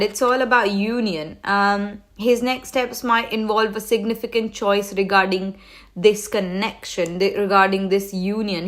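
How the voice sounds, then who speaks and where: A woman's voice: medium pitch, a bit bright. A woman hosting a live tarot reading, talking loosely with many fillers and restarts, a cold coming on. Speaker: tarot reader hosting a livestream.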